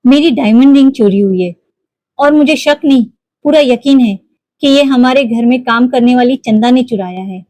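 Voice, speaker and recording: A woman's voice: high voice, moderate pace, mellow, dry recording, natural voice, poor audio. A woman voicing a bossy, accusing lady of the house in a toy cartoon story, imperious and insistent. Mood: accusing, indignant.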